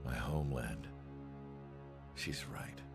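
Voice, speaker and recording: A very deep, gravelly old man narrates a war game with weary regret. He speaks in a somber, reflective way, with loud music under the voice. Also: slow pace, exaggerated voice. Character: weary, guilt-ridden elderly male scientist recounting war. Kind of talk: scripted cinematic narration. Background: loud cinematic score.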